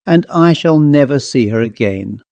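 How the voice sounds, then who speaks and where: A man's voice: medium pitch, lively intonation, moderate pace, a little mellow, no room sound, muffled recording, natural voice. A man voicing a grieving, unsettled father with a desperate, pleading manner, in a ghost story. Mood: sorrowful. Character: grieving, unsettled father, eager and desperate, old friend of narrator.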